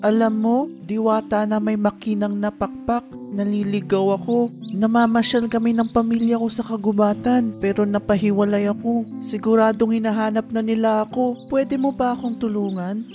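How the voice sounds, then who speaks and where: Woman as an anxious, inquisitive high-voiced child. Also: moderate pace, over music, natural voice. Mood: worried. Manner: questioning, excitable. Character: anxious, inquisitive lost child from a family outing. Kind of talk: animated children's fairy tale dubbing.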